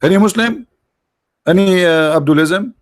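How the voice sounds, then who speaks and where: A man's voice: sits high, moderate pace, dry recording, studio quality. A man hosting a livestream, talking casually and sarcastically, with many restarts and fillers. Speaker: livestream host.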